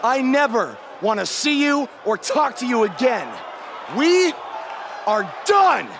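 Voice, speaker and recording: A hurt pro wrestler in a game cutscene. He is intense and very high in pitch, swinging widely, amid noisy crowd sound. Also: very animated, slow pace, heavily acted. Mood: hurt, furious. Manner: intense, impassioned. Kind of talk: video game cutscene acting. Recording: noisy arena crowd behind.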